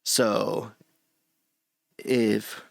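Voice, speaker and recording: A middle-aged man with a low voice gives a loose, chatty screen-share tutorial from a small home room, often restarting his sentences. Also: flat delivery, drawn-out words, creaky, no echo, clean studio sound. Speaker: streamer teaching audio setup.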